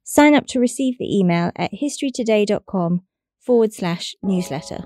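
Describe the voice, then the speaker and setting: A woman's voice: medium pitch, expressive, normal speed, dark tone, no echo, studio quality. A woman giving a scripted podcast announcement.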